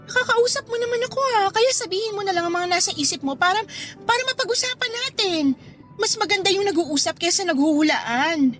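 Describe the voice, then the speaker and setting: Young girlfriend, high-pitched, firm yet forgiving and teasing, earnest radio drama delivery over faint music. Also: expressive, fairly quick, a bit bright. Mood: firm, serious. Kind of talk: Tagalog radio drama acting.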